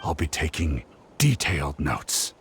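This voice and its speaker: An actor voicing a fussy, bookish writer in a video game, wordy and precise. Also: male voice, rumbling low, sing-song, unhurried, fairly bright tone, a little hiss or hum, heavily acted. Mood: reflective, keen. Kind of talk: video game character dialogue.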